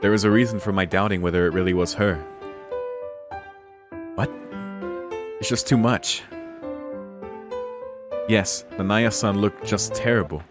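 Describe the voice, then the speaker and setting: A man with a fairly low voice does a casual let's-play, reading narration and dialogue out loud. He breaks off for incredulous, profane and joking reactions. Guitar music from the game plays clearly behind him. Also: steady tone, unhurried, long pauses. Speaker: streamer playing and reading a visual novel. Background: guitar music of a game soundtrack.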